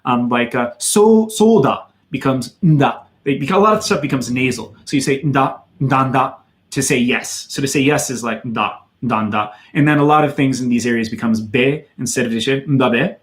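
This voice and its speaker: A man's voice: mid-range voice, normal speed. A man in his thirties from Wisconsin with an American accent, chatting casually as he answers viewer questions on his livestream. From Madison, Wisconsin, USA. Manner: chatty and loose, many fillers, reads out viewer questions. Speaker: channel host answering chat questions.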